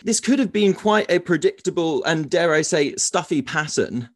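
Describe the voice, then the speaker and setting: A man's voice: on the high side, animated, normal speed, no echo, clean studio sound. A man hosting a podcast, reading his introduction and then asking a guest questions in an earnest, enthusiastic way. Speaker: podcast host.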